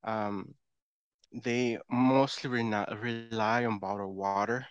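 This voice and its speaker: Clear-voiced man, native Spanish speaker, chatting. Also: on the low side, fairly even intonation, takes it slowly, dry recording, dull audio. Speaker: podcast guest, language learner. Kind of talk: casual conversational interview.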